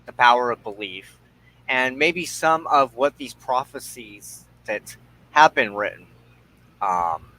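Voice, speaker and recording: Slow, deliberate man in reflective discussion. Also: medium pitch, animated, bright, forceful tone, rough recording. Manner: halting, deliberate, breaks phrases into short pieces. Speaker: host of a spiritual discussion show.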